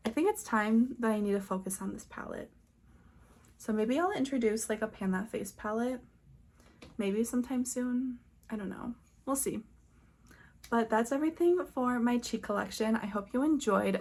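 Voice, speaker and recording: A woman's voice: fairly high pitch, darkish tone. A woman beauty vlogger talking casually and chattily through products, with conversational trailing asides. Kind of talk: casual product show-and-tell.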